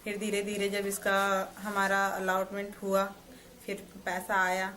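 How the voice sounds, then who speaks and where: A woman interviewee recounting her experience in a plain, flat way. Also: mid-range voice, unhurried. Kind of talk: casual interview. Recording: faint background noise.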